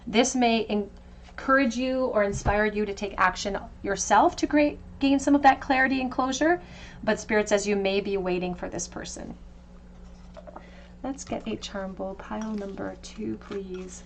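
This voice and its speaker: Female tarot reader, mid-pitched, conversational pick-a-card delivery, gently reassuring. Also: fairly even intonation, normal speed, slight background noise. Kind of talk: tarot card reading to camera.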